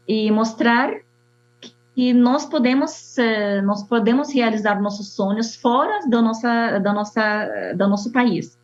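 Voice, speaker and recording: Halting Syrian-accented woman, lo-fi. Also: a little high. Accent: Syrian Arabic. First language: Arabic. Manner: halting, many fillers and restarts. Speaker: architect. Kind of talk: personal testimony. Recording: dull lo-fi sound.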